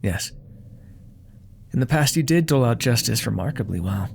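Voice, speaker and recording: Man playing a vain, silky demon boss, swooping pitch. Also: lively intonation, normal speed, vocal fry, a little hiss or hum. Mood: calm, calculating. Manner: theatrical, condescending, coolly threatening with mock affection. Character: vain, menacing, silky male demon crime lord. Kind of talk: ASMR audio roleplay monologue.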